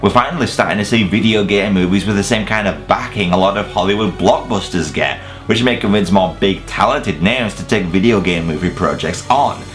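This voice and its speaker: A man's voice: deep voice, fairly quick, hardly any pauses, faint music behind. A man hosting a scripted countdown review, with casual swearing and mock-incredulous asides. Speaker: video reviewer and host.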